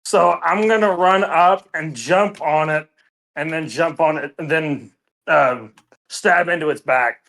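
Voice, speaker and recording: A woman's voice: deep voice, unhurried, fairly bright tone, rough recording. A woman chatting casually as a player in a tabletop roleplaying game. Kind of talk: casual chat while roleplaying.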